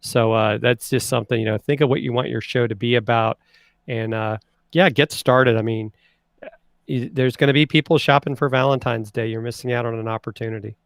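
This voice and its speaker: A man in his forties with a Southern US accent, calmly explaining tips on a casual livestream. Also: medium pitch, fairly quick, dry recording, studio quality. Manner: helpful, explaining advice. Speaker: livestream co-host. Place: home studio. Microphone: studio mic on boom arm.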